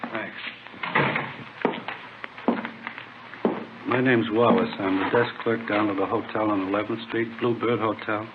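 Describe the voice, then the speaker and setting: A man playing an ingratiating American hotel clerk, low-voiced and quick. He hedges and wheedles like a money-minded informant in old radio drama, heard on a thin, tinny recording. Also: steady tone, very noisy, natural voice. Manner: hedging, wheedling, talkative. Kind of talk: 1950s radio drama acting.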